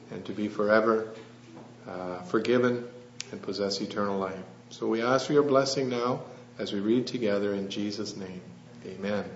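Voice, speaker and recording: Soft-spoken preacher in an echoing hall. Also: male voice, medium pitch. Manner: soft-spoken, earnest, with frequent "uh" pauses. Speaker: missionary preacher. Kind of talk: sermon. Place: church hall. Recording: roomy lo-fi church recording with faint hum.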